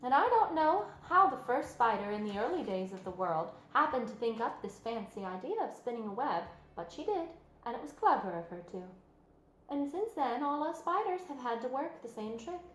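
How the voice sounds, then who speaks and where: A woman's voice: a little high, very animated, moderate pace. A woman voicing a clever, unapologetic female spider in a storybook, with a thin, pleasant voice and matter-of-fact self-assurance. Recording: roomy sound with music underneath.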